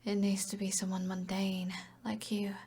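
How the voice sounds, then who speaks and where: A woman's voice: medium pitch, completely flat delivery, moderate pace, warm tone. A British woman playing an alluring, commanding evil sorceress in an ASMR roleplay, half-whispering in a teasing, possessive way. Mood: seductive, confiding. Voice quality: breathy, whispery.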